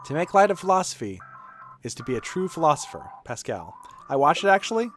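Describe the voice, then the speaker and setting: A man with a fairly high voice and very lively, swooping intonation, chatting as a game streamer. He rambles informally and offers opinions freely. There is clear noise behind the voice. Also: fast talker. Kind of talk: casual gaming stream commentary, reading aloud on-screen quotes.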